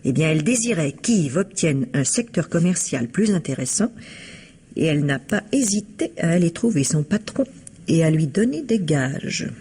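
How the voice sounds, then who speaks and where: A married shopkeeper in an old-style French radio mystery. Her voice is husky, and she speaks with measured, evasive politeness that carries quiet spite and insinuation. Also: deep voice, big pitch swings, full character voice. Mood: bitter, disdainful.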